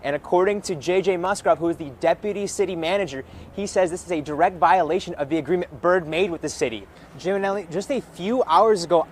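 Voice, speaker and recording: Higher-pitched male TV news reporter, faint noise. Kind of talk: scripted news reporting, narration and stand-ups.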